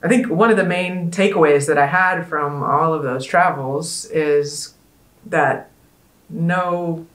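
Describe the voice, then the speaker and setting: A woman with a somewhat low voice leads a conference session. She talks in an earnest, conversational way, often pausing on ums as she gathers her thoughts. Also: echoey room. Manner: earnest, conversational, with frequent ums and trailing pauses. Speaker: panel host. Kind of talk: casual conference panel talk.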